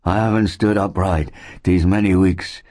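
Breathless, worn-out sick settler. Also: male voice, deep voice, steady tone, mellow, pitched above the performer's own voice. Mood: weak, weary. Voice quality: faint, breathless. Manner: slow, labored, grim. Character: sick, worn-out male settler, anxious and gloomy.

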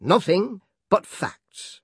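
A man voicing a rigid, domineering middle-aged Victorian schoolmaster who speaks slowly and emphatically, read for an audiobook. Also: sits high, sing-song, creaky, husky, no room sound, studio quality, voice pushed up, exaggerated voice. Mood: stern. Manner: slow, emphatic, dictatorial. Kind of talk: audiobook character reading.

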